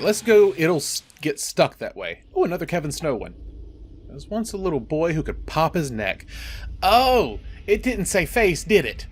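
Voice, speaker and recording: Male horror game host, animated and wisecracking, slightly high voice, playful commentary and story reading. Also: a little hiss or hum.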